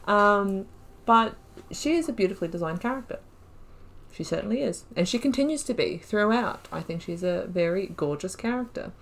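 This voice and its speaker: A woman's voice: mid-range voice, lively intonation, normal speed, vocal fry. A woman giving rambling gameplay commentary, thinking aloud with frequent fillers. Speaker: gaming YouTuber.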